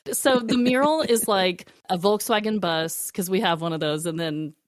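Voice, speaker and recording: A woman's voice: medium pitch, normal speed, no echo, studio quality. A woman in her thirties hosting a podcast, telling stories casually and candidly for laughs. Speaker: podcast host. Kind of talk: casual comedic storytelling.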